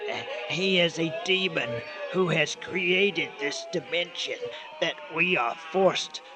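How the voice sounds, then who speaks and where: Slow, solemn undead skeleton. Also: male voice, a little high, music in the background. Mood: grave, explaining. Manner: halting, formal, solemn. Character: polite, suffering undead skeleton cafe manager, male. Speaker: voice actor in a radio drama. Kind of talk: old-time radio horror-comedy acting.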